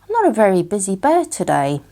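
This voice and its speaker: A woman voices a young male storybook bear, wistful and gentle, with very lively pitch. He speaks politely and earnestly, in simple plain words. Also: brisk. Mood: wistful, sad. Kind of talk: children's bedtime storybook reading.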